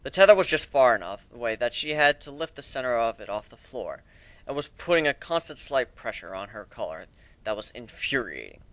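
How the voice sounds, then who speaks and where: A man reading a story aloud as a dramatic narrator, in a measured, deliberate way with expressive emphasis. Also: mid-range voice, dry recording.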